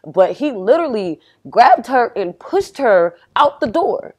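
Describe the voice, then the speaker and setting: A young adult woman, a rapper guest, from Pennsylvania and raised in Texas, talking casually and acting out what people said. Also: a little slow. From Pennsylvania, grew up in Houston, Texas. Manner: animated storytelling, acts out quotes with rising pitch. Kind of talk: casual podcast conversation.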